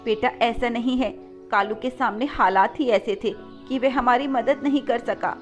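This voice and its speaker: A woman voicing an earnest, gentle mother bird who pleads for help in a cartoon. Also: fairly high pitch, moderate pace, soft background music, natural voice. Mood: reassuring.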